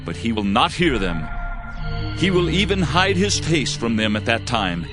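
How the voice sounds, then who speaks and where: A man with a medium-pitched voice gives a dramatized scripture narration. His delivery is solemn and oratorical, with very lively rises and falls, over clear background music. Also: unhurried. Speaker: audio Bible narrator. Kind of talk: dramatized scripture reading in archaic English. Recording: music behind the voice.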